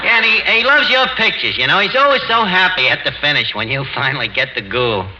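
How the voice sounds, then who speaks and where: A wisecracking man in a 1940s radio comedy who laughs through his lines. His pitch leaps all over the place, and loud hiss runs under the dull old broadcast sound. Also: sing-song, quick pace. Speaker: lead comic character, a bar manager. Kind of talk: 1940s radio sitcom acting.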